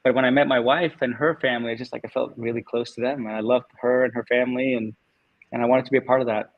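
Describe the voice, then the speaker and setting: A man's voice: medium pitch, steady tone, fast, no echo, narrow, tinny audio. A man in his forties from Denmark with a Californian American accent, a Danish native speaker, chatting as an interview guest and laughing often. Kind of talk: casual interview storytelling.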